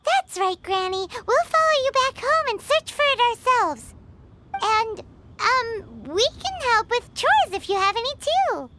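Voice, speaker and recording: A woman voicing a tiny childlike fairy girl in a video game, curious, blunt and exclamatory, with a squeaky voice. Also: very animated, measured pace, noisy background, heavily acted. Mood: eager. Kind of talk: video game character acting.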